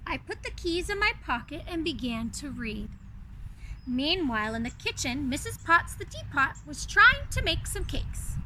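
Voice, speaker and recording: A woman reading a storybook in an animated, gushing way, coaxing young listeners with direct asides. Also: high voice, normal speed, slight background noise, poor audio. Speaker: children's storyteller, reader in a princess character.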